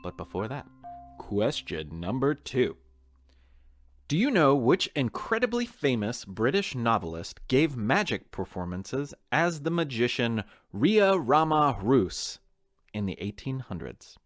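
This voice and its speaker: Playful American male quizmaster, animated mid-range voice, direct to listeners, music underneath. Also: medium pitch, unhurried, no echo. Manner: playful, talking straight to listeners. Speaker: podcast host and quizmaster. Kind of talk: playful scripted quiz narration.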